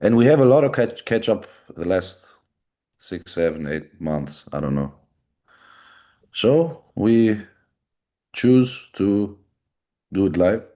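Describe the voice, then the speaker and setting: Deep, dark-voiced Greek-accented man. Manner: blunt, profane, candid. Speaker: podcast host. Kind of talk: casual live chat.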